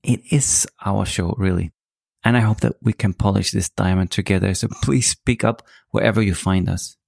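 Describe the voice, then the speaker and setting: Gravelly, low-voiced Danish man hosting. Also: moderate pace, darkish tone. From Denmark. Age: 40s. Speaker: podcast host. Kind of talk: scripted solo podcast monologue.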